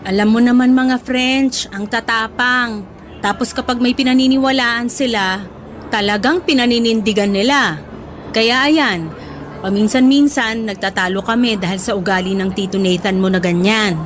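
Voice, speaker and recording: Woman acting a high-voiced, doting, exasperated mother. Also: moderate pace, slight background noise, natural voice. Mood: confiding. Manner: fond scolding, sighing interjections. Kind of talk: Tagalog radio drama acting. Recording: music bed under the voice.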